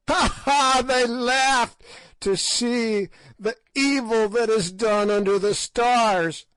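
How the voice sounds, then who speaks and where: A shrieking, cackling ancient witch woman, spiteful and defiant, as a male narrator puts her on. The voice leaps high and loud above the reader's own, and the recording is dry and close. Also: slow pace, smooth, dry recording, rough recording. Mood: raving.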